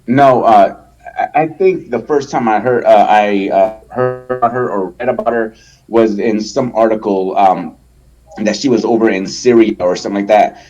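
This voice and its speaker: A man from El Salvador, a guest in a casual interview, talking haltingly with many restarts and fillers and swearing freely. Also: lowish voice, a little mellow, muffled recording, faint music behind. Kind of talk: casual conversation.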